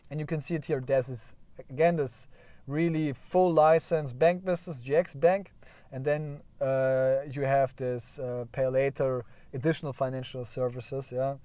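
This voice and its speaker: A man's voice: on the high side, mellow, no echo. A man with a German accent hosting a casual livestream, rambling and correcting himself as he chats with viewers. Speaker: livestream host.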